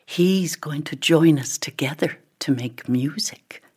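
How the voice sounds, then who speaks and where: A woman voicing an exotic, worldly female ebony tree with a breathy, whispery delivery in a storybook. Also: sits low, takes it slowly, a little mellow, heavily acted. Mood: knowing. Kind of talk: storybook character voice.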